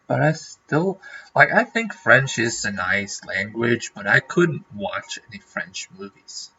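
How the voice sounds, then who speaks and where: A man's voice: mid-range voice, sing-song, slow, bright, forceful tone, highs cut off, slight background noise. A man from Norway, a native Norwegian speaker, chatting casually on a livestream and rambling with lots of fillers and restarts. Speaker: streamer.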